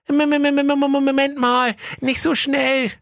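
A woman's voice: high-pitched, level tone, unhurried, dry recording, studio quality. A woman playing a flustered, whiny, dramatic young woman in a comic fairy tale audio play. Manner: stammering, exasperated.

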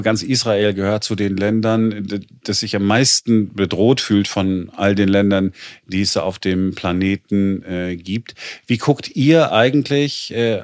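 A man in his fifties hosts a conversational interview. His voice sits somewhat low, and the recording is dry, with hardly any room around it. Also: no room sound. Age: 50s. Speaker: interviewer, host.

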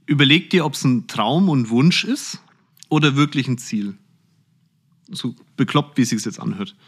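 A man's voice: medium pitch, moderate pace, fairly bright tone, husky. A man answering interview questions on a podcast in a blunt, direct and colloquial way. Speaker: podcast host being interviewed, finance executive.

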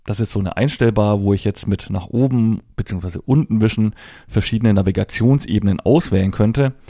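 Male tutorial presenter: deepish, relaxed tone, matter-of-fact, unscripted step-by-step delivery. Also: a little fast, no echo, studio quality. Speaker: presenter demonstrating assistive technology. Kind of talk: hands-on spoken tutorial, unscripted step-by-step explanation.

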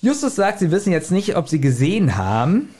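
A man hosting a casual podcast, retelling a story scene by scene in a halting, chuckling manner. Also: sits high, huge pitch swings, darkish tone, slightly rough. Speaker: podcast host retelling an audio drama. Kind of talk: casual scene-by-scene recap among friends.

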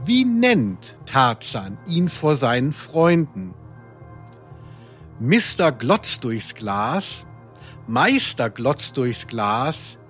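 A man hosting a chatty podcast and running a quiz in a playful, tongue-in-cheek way. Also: a little high. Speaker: podcast host and quizmaster. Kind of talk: casual chatty podcast talk.

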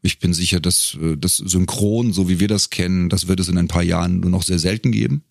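Deep, gravelly middle-aged man. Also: fairly even intonation, fairly quick, a little mellow, no room sound, studio quality. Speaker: guest, professional voice actor. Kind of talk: casual interview talk.

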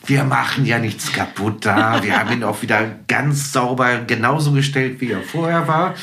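A man's voice: medium pitch, moderate pace, background noise. A man chatting casually as a podcast guest, telling anecdotes in an enthusiastic, talkative manner. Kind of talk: casual chat.

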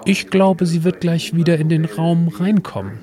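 A man's voice: on the high side, fairly even intonation, normal speed, dark tone. A man hosting a semi-scripted audio play, explaining things to a companion and to listeners. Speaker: podcast host and co-creator of an exhibition audio play.

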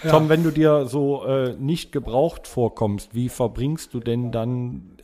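A German man of middling pitch hosts a casual podcast. He joins remotely from his room at home, moderating in an informal conversational style. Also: takes it slowly, a little mellow. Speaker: podcast host. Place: quiet room at home, on a remote video call.

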